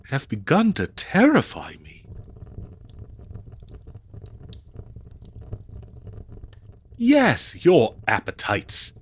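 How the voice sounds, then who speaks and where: Slow, melodramatic man playing a fretful period husband. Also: mid-range voice, slightly rough, no echo, full character voice. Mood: anxious, grave. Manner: florid old-fashioned wording, halting, flustered, theatrical. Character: melodramatic, prim 19th-century husband, fretful and devoted. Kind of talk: comedic period audio roleplay acting.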